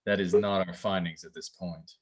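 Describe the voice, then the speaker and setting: Police investigator, male actor, low register, measured and official, careful with what he reveals. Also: steady tone, slightly rough. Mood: guarded, serious. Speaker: actor at a table read. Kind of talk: scripted drama table read.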